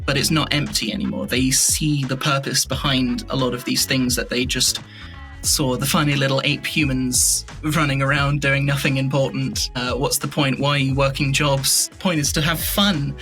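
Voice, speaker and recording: A man's voice: mid-range voice, bright, forceful tone. A man chatting casually as a podcast guest, rambling in a whimsical way. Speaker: podcast guest, audio drama writer. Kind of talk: casual interview chat.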